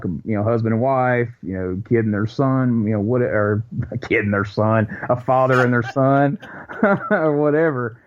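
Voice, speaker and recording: Guest, male, Chicago accent, mid-pitched, animated profane raconteur, lo-fi sound. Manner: animated, profane anecdote teller. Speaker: podcast guest. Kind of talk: casual storytelling chat. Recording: dull lo-fi recording.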